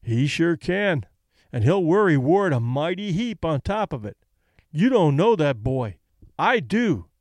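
Laid-back trainer with a slow drawl, as a male narrator plays him, slightly raised voice. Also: sits high, darkish tone, no room sound, studio quality.

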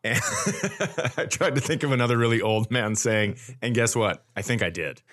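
A man's voice: medium pitch, huge pitch swings, normal speed, a bit bright. A man in his forties, a comedian and podcast host, telling stories casually and acting out the dialogue with mock bravado. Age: 40s. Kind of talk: casual comic storytelling.

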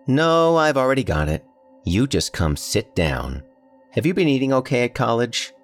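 A man voicing a calm, gently wry mother who speaks slowly and evenly. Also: mid-range voice, faint music behind, voice pushed up. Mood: calm, dry.

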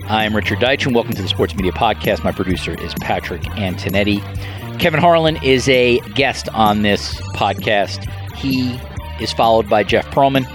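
A man's voice: fairly low pitch, normal speed, music in the background. A middle-aged man hosting a podcast in a conversational style, hesitant and trailing off at phrase ends. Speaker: podcast host.

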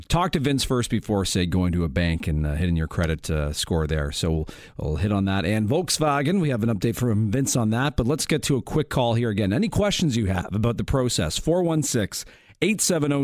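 A man's voice: medium pitch, no room sound, studio quality. A man hosting a live talk radio show, reading out the call-in number and moving between segments with upbeat announcer delivery. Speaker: radio host. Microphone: studio microphone.

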